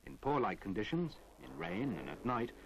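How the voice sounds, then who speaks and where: A British man reading a measured, didactic narration for an instructional film from the 1970s. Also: medium pitch, sing-song, gravelly, slight background noise, rough recording.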